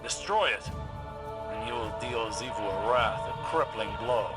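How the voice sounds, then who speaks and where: An actor playing a grave, authoritative warlock mentor in a video game, speaking sternly and formally. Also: male voice, lowish voice, a little slow, over loud music, lightly acted. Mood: stern warning.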